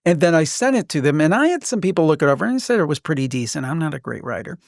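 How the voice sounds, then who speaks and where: A man's voice: fairly high pitch, sing-song, fast, vocal fry, dry recording, studio quality. A man hosting a podcast, speaking conversationally as an interviewer who shares asides of his own. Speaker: podcast host.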